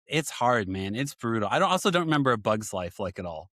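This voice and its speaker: A man's voice: medium pitch, big pitch swings, a bit bright, no room sound, studio quality. Easygoing man hosting a podcast. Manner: easygoing, jokey, quick affirmations. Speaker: podcast host. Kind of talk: casual podcast interview.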